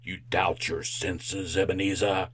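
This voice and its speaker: A man playing a solemn, accusing ghost in an old-time radio drama, speaking in a portentous, drawn-out manner. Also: sits low, little pitch movement, a bit bright, slight background noise. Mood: solemn, challenging. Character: solemn male ghost of a dead business partner, accusing.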